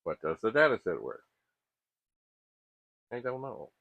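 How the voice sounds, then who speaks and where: A middle-aged man giving casual, unscripted tech commentary as he works, thinking aloud in a halting, self-questioning way. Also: mid-range voice, sing-song, gravelly, no room sound. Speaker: host of a vintage computer repair channel. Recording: muffled, phone-like narrow band.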